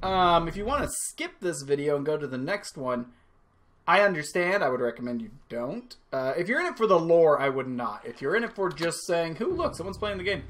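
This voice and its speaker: Animated, higher-voiced man doing game commentary. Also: brisk. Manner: informal, self-deprecating, joking. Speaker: host of a game playthrough channel. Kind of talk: casual, rambling game commentary. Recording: faint game music behind. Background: game music.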